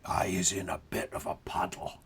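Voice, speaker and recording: An older actor playing a big, friendly, bumbling giant with childlike, muddled rustic grammar in a dramatized audiobook. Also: male voice, sits low, fairly even intonation, moderate pace, a bit bright, whispered. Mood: lost, dejected. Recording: studio drama with long reverb, music behind.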